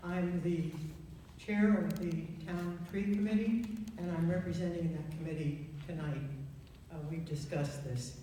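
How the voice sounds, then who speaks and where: A woman's voice: lowish voice, steady tone, unhurried, dark tone. Woman heard from across an echoey hall. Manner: formal, introduces herself by name and address. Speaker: town committee chair. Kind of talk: public comment at a town hearing. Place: town meeting hall. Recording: echoey hall, distant mic, background noise.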